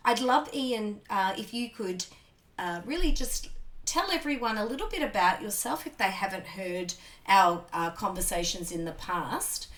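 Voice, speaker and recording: A woman's voice: medium pitch, expressive, normal speed, a bit bright. A woman, hosting a podcast in a chatty way with many ums and restarts. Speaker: podcast host. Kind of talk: casual conversational interview.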